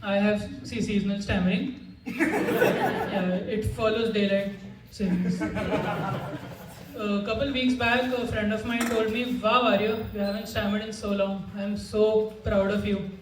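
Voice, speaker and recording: A young man with a high voice does stand-up on a club stage, stammering now and then. His delivery is awkward and self-mocking. The sound is roomy and distant, with clear background noise. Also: fairly even intonation, a little slow. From India. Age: young adult. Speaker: stand-up comedian. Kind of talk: stand-up set. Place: comedy club stage with audience.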